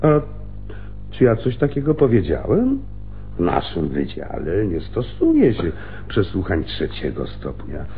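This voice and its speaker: Sly, drawling veteran police lieutenant. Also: male voice, low voice, wildly swooping pitch, heavily acted. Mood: sly, menacing. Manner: sardonic, insinuating, drawling with theatrical pauses. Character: cunning, sardonic veteran male homicide police lieutenant. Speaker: actor in a radio play. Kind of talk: Polish radio drama acting. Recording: muffled phone-like radio recording, hum.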